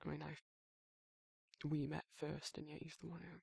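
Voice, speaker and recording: A British man playing a protective but awkward male werewolf in an intimate romantic ASMR roleplay, speaking in a halting, flustered, husky voice. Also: a little high, flat delivery, a little mellow, no room sound, clean studio sound, heavily acted. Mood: nervous, bashful. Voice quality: gravelly, husky, breathy. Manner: flustered, halting, stammering, trailing off.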